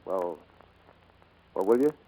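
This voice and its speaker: An actor playing an earnest, steady American aircraft builder in a 1940s radio drama, heard on a vintage broadcast recording. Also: male voice, deep voice, takes it slowly, exaggerated voice, poor audio. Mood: tender, earnest.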